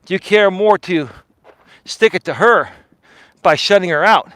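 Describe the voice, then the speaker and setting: A man with a fairly high voice rants to his live-stream audience, blunt and argumentative, and keeps qualifying his points. The sound is dry and close, with no room echo, captured on a mobile mic while he walks outdoors. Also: measured pace, a bit bright. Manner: blunt, argumentative, repeats "in my opinion". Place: outdoors on a walk.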